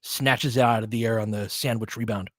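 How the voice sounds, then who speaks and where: A young man doing live play-by-play commentary, excitable, with quick asides and frequent laughs. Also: lowish voice, dry recording, clean studio sound. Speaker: fan play-by-play host.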